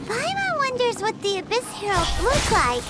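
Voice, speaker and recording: A woman voicing a tiny, chirpy fairy-like girl companion who bubbles with excitement and refers to herself in the third person. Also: extremely high pitch, moderate pace, heavy background noise. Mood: excited. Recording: game audio with music.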